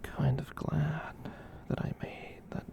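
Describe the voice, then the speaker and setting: A performer voicing a gentle, soft-hearted giant catboy in a breathy whispered ASMR comfort roleplay, tender and hesitant as they ramble. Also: slow pace, lightly acted. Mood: tender, reassuring.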